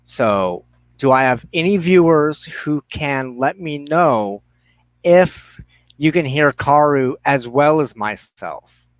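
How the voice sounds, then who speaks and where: A man's voice: on the high side, expressive, slow, dry recording. A man hosting a live show, chatting casually to viewers in a slangy, rambling way into a headset mic. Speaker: livestream host of a spiritual ministry show. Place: inside a trailer.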